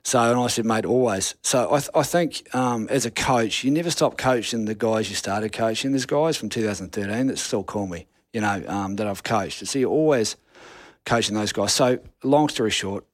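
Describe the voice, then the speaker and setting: A man's voice: lowish voice, normal speed, dry recording, studio quality. A middle-aged man with a New Zealand accent, a rugby coach answering interview questions frankly, with many restarts. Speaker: rugby assistant coach guest.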